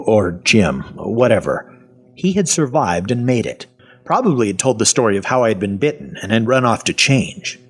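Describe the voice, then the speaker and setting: Wry, cynical half-zombie survivor played by a man, husky voice, animated swings in pitch, first-person audio drama. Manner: sardonic, colloquial. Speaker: voice actor and narrator. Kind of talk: first-person audio fiction narration and dialogue.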